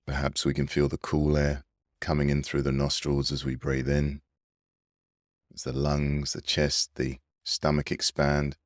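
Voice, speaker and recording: A man leading a guided breathing meditation in a soothing, gentle, unhurried manner. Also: very deep voice, completely flat delivery, dry recording, studio quality. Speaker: meditation guide and mindfulness coach.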